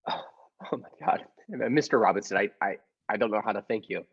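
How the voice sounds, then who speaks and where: Meek, fussy little husband for a radio comedy, acted by a man. Stammering, formally polite, husky and very animated. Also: fairly low pitch, brisk, full character voice. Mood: grateful, awed. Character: timid, henpecked little husband, nervously earnest. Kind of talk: old-time radio comedy play acting. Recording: dull lo-fi audio with music behind.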